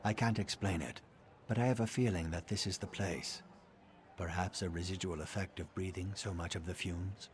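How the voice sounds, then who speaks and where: Man acting a low-voiced grim fantasy assassin. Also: normal speed, slight background noise, lightly acted. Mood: measured. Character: grim vengeful male assassin of a dark brotherhood. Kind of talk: scripted fantasy game dialogue.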